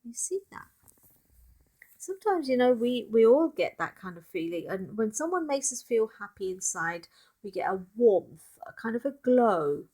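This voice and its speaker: A woman reading a picture book aloud expressively, pausing to speak directly to child listeners. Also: fairly high pitch, sing-song, dark tone.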